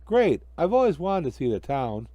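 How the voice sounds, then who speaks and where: A man's voice: fairly high pitch, sing-song, normal speed, mellow, no room sound, muffled recording. A man voicing a naive, cheerful talking snowman with an eager, innocent manner in a children's story. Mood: earnest. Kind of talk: amateur children's storybook reading.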